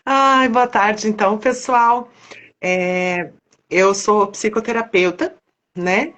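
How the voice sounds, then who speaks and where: A woman's voice: mid-range voice, fairly even intonation, very slow, long pauses, a bit bright, highs cut off. A woman with a Brazilian Portuguese accent, a psychotherapist guest chatting casually on a live stream. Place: online video call.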